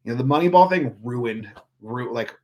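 A man's voice: mid-range voice, animated, normal speed, dark tone. A man, chatting casually as a podcast guest in a rambling, profane, riled-up way. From Northern California. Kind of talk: casual chat.

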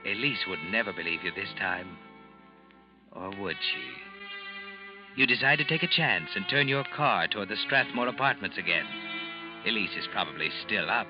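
A man playing a sardonic, knowing narrator of a 1940s radio thriller, speaking deliberately and ominously. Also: fairly low pitch, sing-song. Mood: ominous, taunting. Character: sardonic, omniscient male narrator addressing the guilty character. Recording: muffled old radio recording, loud music behind.

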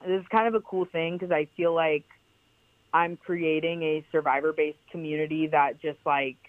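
A woman's voice: sits low, steady tone, moderate pace, no echo. Young woman on a tinny phone line. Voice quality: powerful voice. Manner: chatty, many "like" fillers, emphatic. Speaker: guest, founder of a clothing brand. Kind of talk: casual podcast interview.

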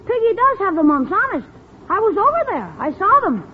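A man's voice: extremely high pitch, very animated, brisk. An actor playing a mischievous, eager young nephew in 1950s radio comedy, protesting his innocence. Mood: mock innocent. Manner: eager, exclamatory, mock-innocent. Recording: tinny, noisy old radio recording.

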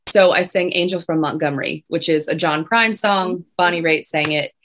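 A young woman singer with a low voice, chatting casually as an interview guest. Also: moderate pace, fairly bright tone, a little hiss or hum. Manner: chatty, many restarts and fillers.

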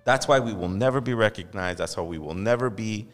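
A man's voice: fairly low pitch, a little fast. Heated podcast host, man, swearing freely, long room reverb, faint music underneath. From Los Angeles. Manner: impassioned, profane, mocks others in quoted voices. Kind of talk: heated casual podcast talk. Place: podcast studio.

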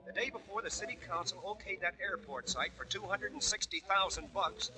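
A man's voice: high voice, brisk, crowd noise, natural voice. A man playing a cocky, wisecracking American newspaper reporter in a classic radio drama, with brash, slangy delivery. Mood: breezy, sardonic. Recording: tinny, noisy old radio recording.

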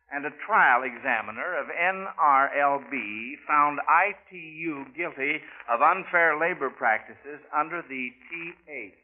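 A man's voice: a little high, slight background noise. An American man hosting a 1940s radio quiz, talking in a folksy schoolmaster manner to children. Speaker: quiz show host. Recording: tinny, band-limited old radio recording.

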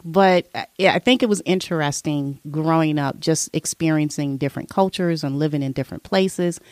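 A woman's voice: deep voice, normal speed, a little mellow, no room sound. A middle-aged woman telling her life story in a casual interview, chatty, with frequent "you know" fillers. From born abroad, raised in military family. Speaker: interview guest.

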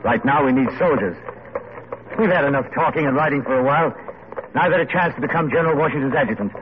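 An actor playing an ardent, idealistic and courteous Revolutionary pamphleteer in an old-time radio drama. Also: male voice, mid-range voice, quick pace, natural voice. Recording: noisy, tinny old radio broadcast.

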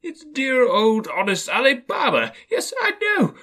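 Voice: mid-range voice, big pitch swings, moderate pace, pushed and bright, voice pushed up, heavily acted. A reader voicing an old man full of childlike, tearful nostalgia, in a storybook reading. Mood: ecstatic, excited. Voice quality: between laughing and crying.

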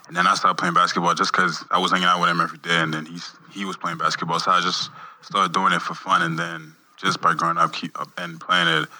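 Very deep, flat-voiced young man. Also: low-quality recording. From Montreal, Canada. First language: French. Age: young adult. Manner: soft-spoken, halting, repeats words while searching for them. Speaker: guest athlete. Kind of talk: casual interview answers.

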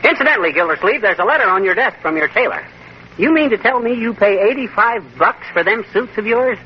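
An actor playing a nosy, boastful husband in a 1940s radio comedy, brash and folksy. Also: male voice, high voice, lively intonation, quick pace. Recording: dull, hissy old radio recording.

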